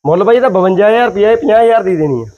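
High fast-talking Punjabi seller. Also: male voice, expressive, dark tone. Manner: assured sales patter with guarantees. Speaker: dairy farm seller. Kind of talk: casual sales talk. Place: outdoor dairy farm yard.

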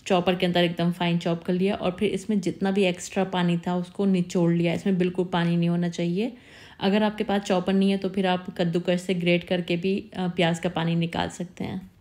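A woman calmly narrating a step-by-step cooking recipe as the host of her channel, repeating tips patiently. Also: medium pitch.

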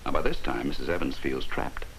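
A man's voice: extremely low pitch, brisk, a bit bright. An American man narrating a 1950s training film in a wry, folksy, emphatic way. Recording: hissy 1950s film soundtrack.

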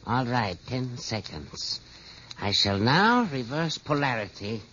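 An actor playing an anxious, deferential scientist in a 1950s radio drama, halting and full of suspense. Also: male voice, mid-range voice, expressive, pushed and bright. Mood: suspenseful. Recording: muffled, very noisy old radio recording.

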